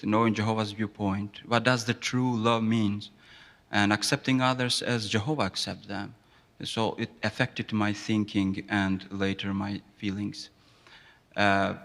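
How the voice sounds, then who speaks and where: Earnest man from Kosovo, slightly low voice. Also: creaky, fairly bright tone, long reverb tail. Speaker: interviewee. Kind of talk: formal interview testimony.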